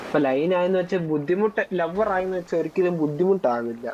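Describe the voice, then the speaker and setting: A man's voice: high voice, expressive, fast, dull audio. A man chatting casually in an online roleplay game. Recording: noisy game voice chat.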